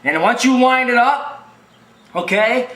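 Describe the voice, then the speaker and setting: A man's voice: extremely high pitch, expressive, slow, a bit bright. A man giving an informal repair tutorial as a technician, rambling and repeating himself in a folksy, emphatic way. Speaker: video machine repair technician and presenter. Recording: roomy, echoing sound.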